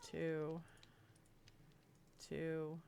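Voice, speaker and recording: A woman's voice: low voice, completely flat delivery, very slow, gravelly, warm tone, a little hiss or hum. A woman chatting casually to viewers while playing a game, sounding congested and sniffly from a cold, giggling now and then. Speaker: gaming video creator. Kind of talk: casual let's-play commentary.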